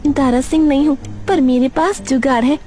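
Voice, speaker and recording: A woman voicing a calm, clever and resourceful young village daughter-in-law in a cartoon, composed and reassuring. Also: high-pitched, normal speed, soft background music, pitched above the performer's own voice, lightly acted. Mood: self-assured.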